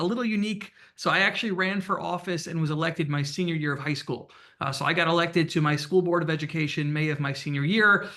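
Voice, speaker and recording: Brief: young male panelist, bright and forceful, lively self-deprecating humor. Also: a little high. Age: young adult. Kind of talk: panel introduction. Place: campus event room.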